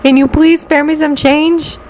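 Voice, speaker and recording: A woman's voice: high-pitched, level tone, measured pace, a little mellow, voice pushed up, rough recording. A woman voicing a pleading young woman who begs plaintively as if down on her luck. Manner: plaintive begging.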